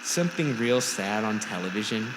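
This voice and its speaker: A man's voice: lowish voice, animated, moderate pace, big-room sound, noisy background, lightly acted. A man voicing a simple-minded, forgetful, cheerful housewife in a storybook, in a vague, folksy way. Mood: vague, placid. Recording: music under the voice.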